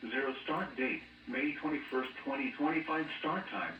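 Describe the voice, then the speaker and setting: A muffled American male weather radio voice, heard at a distance through a speaker in an echoey room with clear noise. It reads a scripted bulletin mechanically, like an automated announcer. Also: medium pitch, normal speed. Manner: flat, mechanical synthetic delivery, reading out codes and figures. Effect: weather radio broadcast.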